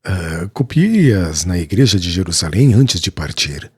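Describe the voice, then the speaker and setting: A man's voice: low-pitched, very animated, husky, dry recording, studio quality, pitched below the performer's own voice, full character voice. A man voicing a grave, humble weaver. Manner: solemn, measured storytelling of past suffering. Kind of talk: audiobook character dialogue. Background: faint background music.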